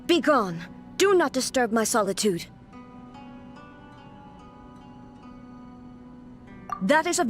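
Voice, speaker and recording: Stern, formal puppet ruler. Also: female voice, a little high. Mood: cold, commanding. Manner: formal, clipped, commanding. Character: stern, aloof puppet ruler, duty-bound. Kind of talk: video game voice acting.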